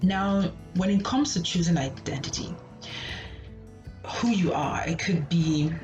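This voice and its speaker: A woman's voice: on the low side, animated, a little slow. A woman, a student therapist hosting her channel, talking casually and rhetorically to camera and checking in with "okay?". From Nigeria. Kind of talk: casual educational talk to camera. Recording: roomy, slightly dull sound with music behind.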